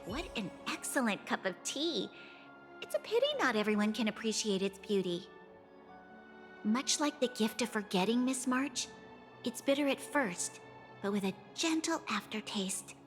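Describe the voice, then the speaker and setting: A woman voicing a composed, wise young female fortune-telling master in a video game, speaking calmly and formally. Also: a little high, close to an everyday voice. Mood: serene, assured. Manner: calm, formal, measured. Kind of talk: acted video game dialogue. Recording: reverberant, with music behind.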